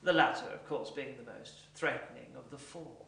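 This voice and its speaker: A man performing a comic monologue as an eccentric, pompous character, with a deadpan, deliberate, mannered delivery. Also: medium pitch, creaky. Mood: deadpan, confiding. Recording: echoey room, distant mic.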